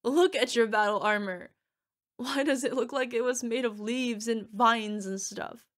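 A woman acts out a young orc girlfriend in an audio roleplay. Her voice is fairly high, and she is gushing, needy and playful. She is fierce yet cutesy, speaking intimately to a partner. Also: a little slow, lightly acted. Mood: playful, defensive. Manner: gushing, emotional, playful, talking to an unheard partner. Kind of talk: ASMR girlfriend roleplay acting.

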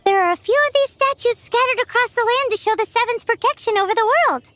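A woman voicing a tiny, perky fairy girl guide in a video game, squeaky and childlike. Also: quick pace, fairly bright tone, no room sound, soft background music. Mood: excited, explaining. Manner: perky, speaks of herself in third person. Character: tiny cheerful floating fairy girl companion. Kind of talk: animated game dialogue.

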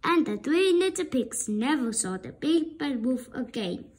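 A woman reading a children's story aloud with deliberate, expressive narration. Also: high-pitched, slightly rough. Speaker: storybook reader.

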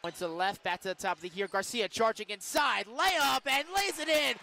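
A man calling basketball play-by-play on the radio, with excitable, punchy calls. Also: sits high, a little fast, gravelly. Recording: arena crowd and court noise behind. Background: basketball game in an arena.

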